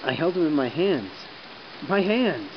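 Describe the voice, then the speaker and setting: A man's voice: fairly high pitch, very animated, unhurried. A man playing an earnest, emotional young army medic, shaken and idealistic, in an audio drama. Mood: anguished. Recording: dull sound with faint rain hiss.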